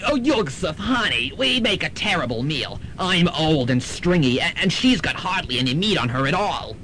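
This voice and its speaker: Man playing a bright, campy wisecracking old dame. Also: a little high, very animated, normal speed. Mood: wry, wheedling. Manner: arch, chatty, says honey a lot. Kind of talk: comic radio drama acting. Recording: slightly noisy student radio recording.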